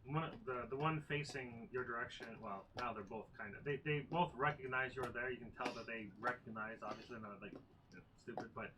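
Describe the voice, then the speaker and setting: A man's voice: medium pitch, fairly quick, noisy background. A man running a tabletop game as game master, describing scenes with many fillers and restarts.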